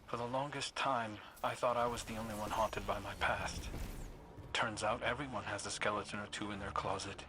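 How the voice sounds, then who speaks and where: An actor playing a wry, haunted scout in a video game, with a dry conversational delivery. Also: male voice, fairly low pitch, steady tone, normal speed, natural voice. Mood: reflective. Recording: clear noise behind voice.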